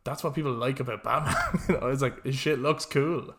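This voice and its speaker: A man chats casually as a podcast co-host at an average pitch. He gets animated and rants with plenty of swearing, often slipping into acted-out reactions. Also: a little fast. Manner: animated, sweary ranting, acts out imagined reactions. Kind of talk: casual chat about films and games.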